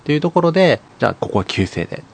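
A man's voice: fairly high pitch, sing-song, racing, vocal fry. A man co-hosting a casual radio chat, polite and hesitant, playing the straight man. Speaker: radio co-host and voice actor.

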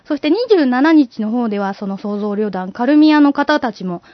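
A woman's voice: a little high, animated, moderate pace. A woman podcast co-host chatting casually and giving announcements, playfully echoing others with mock-genteel feminine turns of phrase. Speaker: podcast co-host and amateur stage and voice actor. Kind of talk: casual chat with event announcements. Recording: muffled audio with background music. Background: background music.

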